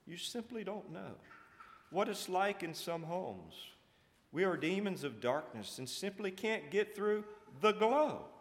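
Nervous underling demon male reciter, quivering, raised pitch, reverberant hall. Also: expressive, unhurried, a bit bright. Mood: fearful. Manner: tremulous. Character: timid, quivering imp cowering before its master. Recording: echoey hall with long reverb.

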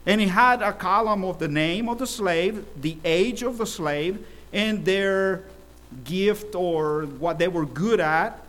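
A middle-aged man from El Salvador preaches a sermon with a voice pitched somewhat high for a man. He tells stories at a steady pace and swells his volume for emphasis. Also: takes it slowly.

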